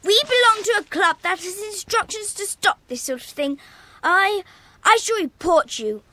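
An indignant, well-behaved child, speaks in a very high, bright voice. The delivery is prim and urgent with lively swoops, as in a children's audio play. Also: female voice, normal speed, exaggerated voice.

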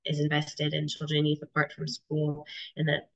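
Low-voiced woman, stuffy with a cold. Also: completely flat delivery. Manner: halting, many ums and restarts. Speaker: webinar presenter. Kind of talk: explaining data charts in a webinar. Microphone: video call. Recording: dull lo-fi video call audio.

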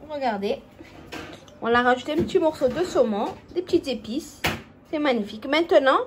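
A woman's voice: sits high, slight background noise. A woman hosting a home cooking video, chatting step by step and talking straight to her viewers. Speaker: cooking channel host. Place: home kitchen.

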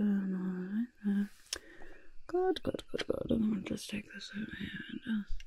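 A woman playing a quirky, friendly goblin master of disguise in a soft-spoken, often whispered ASMR roleplay, fussily repeating words. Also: medium pitch, full character voice. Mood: helpful, fussy. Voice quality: breathy whisper mixed with creaky soft voice. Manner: refers to self in third person, fussy, repeats words. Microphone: close ASMR microphone. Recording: close-up with audible hiss and clicks.

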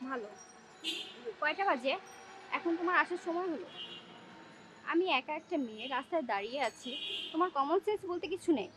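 A woman's voice: sits high, expressive, fairly quick, long pauses, background noise. A young woman acting an impatient, sarcastic girlfriend in a short-film drama. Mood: annoyed. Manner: scolding, sarcastic.

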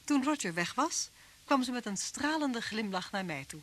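A woman's voice: on the high side, animated, normal speed, a bit bright. An actress playing a motherly, good-humoured and capable inspector's wife in a radio drama. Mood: narrating. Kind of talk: radio drama acting and narration. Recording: old hissy radio recording.

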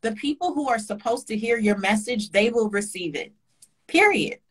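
A woman's voice: a little high, lively intonation, moderate pace, fairly bright tone, slightly rough. Passionate woman preaching conviction. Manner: passionate, preachy, repetitive emphasis. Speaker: guest speaker. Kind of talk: casual video-call interview. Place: home kitchen indoors. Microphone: webcam on a video call.

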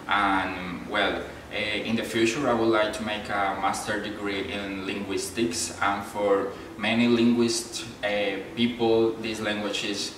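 Young Colombian student, low flat voice, hesitant, far mic. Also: male voice, a little slow, few pauses. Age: 20s. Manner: hesitant, many "eh" fillers. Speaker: exchange student. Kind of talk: introduction to camera. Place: office with flags and trophies, indoors. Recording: very echoey room, distant mic, noisy.

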